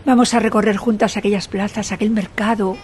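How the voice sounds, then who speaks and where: A woman's voice: medium pitch, steady tone, a little fast, darkish tone, faint music behind, lightly acted. An actress playing a dignified, grieving queen who recalls her youth in a solemn, literary radio drama monologue. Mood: nostalgic, yearning.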